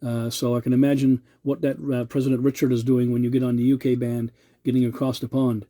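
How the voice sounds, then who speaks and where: A middle-aged man with a very dark, mellow voice and flat, even intonation. He rambles casually and hesitantly in radio-hobbyist lingo. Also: medium pitch, brisk. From Canada.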